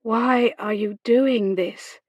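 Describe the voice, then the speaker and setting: A woman voicing an anxious, mortified young gentlewoman, faltering and pleading, in a period romance audiobook. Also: on the high side, fairly even intonation, slow pace, dry recording, dull audio.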